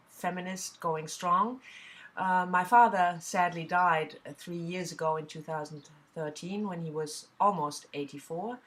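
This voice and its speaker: Chatty German woman, lowish voice. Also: a bit bright. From southwest Germany. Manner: chatty, with frequent fillers and restarts. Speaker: book vlogger. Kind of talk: casual talk to camera.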